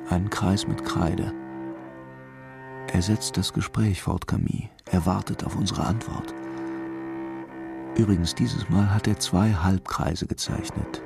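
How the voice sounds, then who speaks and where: An actor playing a brooding, intuitive police commissioner who muses slowly and repeats his phrases, in a radio crime drama. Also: low-pitched, fairly even intonation, gravelly, over music. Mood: brooding, musing. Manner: slow, musing, repeats his own phrases.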